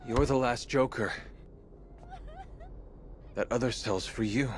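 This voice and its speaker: An actor playing an earnest, resolute young masked sidekick in a video game, speaking plainly and urgently. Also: male voice, mid-range voice, slow, a little hiss or hum, natural voice. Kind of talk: video game hero acting.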